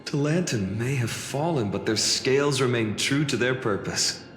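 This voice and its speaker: A man's voice: medium pitch, moderate pace, fairly bright tone, lightly acted. Earnest young hero acted by a man, echoey reverb. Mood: playful, confident. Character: young male holy city guard, earnest hero haunted by loss. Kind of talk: video game voice acting. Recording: echoey reverb, music behind.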